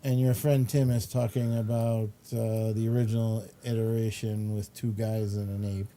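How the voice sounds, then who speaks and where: A man's voice: fairly low pitch, little pitch movement, normal speed, mellow, slight background noise. A man hosting a casual talk show, chatting about entertainment in a hesitant, rambling way. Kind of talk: casual chat, reviewing entertainment.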